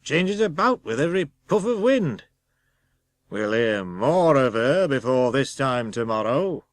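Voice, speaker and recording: Old coastguard played by a male reader, slow, emphatic and foreboding, lively pitch, for a storybook drama. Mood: ominous wonder. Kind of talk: dramatised audiobook reading.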